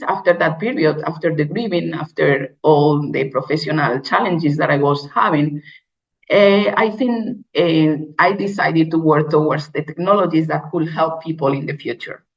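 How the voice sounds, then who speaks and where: A woman from Spain with a Spanish accent giving a personal keynote talk, speaking haltingly with frequent restarts and simple phrasing. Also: sits low. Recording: dull lo-fi recording.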